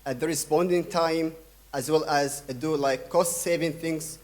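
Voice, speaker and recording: Young male keynote speaker from Sudan, slightly high voice, hesitant delivery full of fillers. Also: steady tone, darkish tone. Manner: halting, many fillers and restarts. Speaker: conference speaker. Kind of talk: conference keynote talk.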